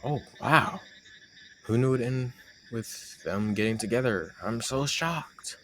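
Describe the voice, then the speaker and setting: A man's voice: mid-range voice, takes it slowly, fairly bright tone, a little hiss or hum. A young man playing a playful, teasing roommate with a hidden crush, chatting casually and sarcastically to the listener in an intimate roleplay audio. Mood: mock surprise. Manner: teasing, conversational, sarcastic banter.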